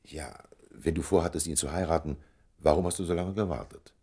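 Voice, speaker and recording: A man playing a shrewd older gentleman in a German radio play, speaking in a dry, measured, gently ironic way. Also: a bass voice, slightly rough, full character voice. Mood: dry, probing.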